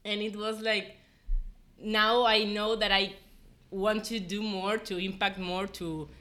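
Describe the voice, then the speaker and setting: Bright-voiced young woman, earnest and informal. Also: medium pitch, flat delivery, slow. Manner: earnest, informal, frequent "like" and "you know". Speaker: podcast guest, former volunteer. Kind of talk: casual personal storytelling in an interview.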